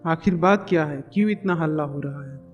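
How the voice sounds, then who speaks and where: A man acting a selfish eldest brother in a family cartoon. His voice is high for a man, and his manner is cold and blunt. Music plays behind. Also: fairly quick, dull audio, close to an everyday voice. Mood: stern, calculating. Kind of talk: Hindi moral-story cartoon dialogue.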